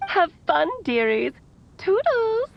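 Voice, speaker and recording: Creaky, whimsical older storybook witch. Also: extremely high pitch, very animated, takes it slowly, no echo, dull audio, exaggerated voice. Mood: whimsical, fond. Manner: sing-song, theatrical, addressing children fondly. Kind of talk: video game voice acting.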